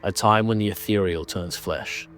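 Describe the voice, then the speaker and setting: A man narrating a scripted horror story in a measured, dramatic manner. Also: lowish voice, a bit bright, soft background music.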